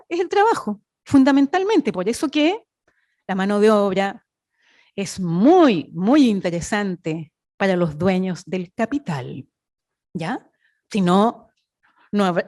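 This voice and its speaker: High-voiced Chilean woman lecturing emphatically. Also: darkish tone. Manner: emphatic, didactic, with rhetorical questions and check-in tags. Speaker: academic sociologist giving a seminar talk. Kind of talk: academic lecture, part read and part improvised.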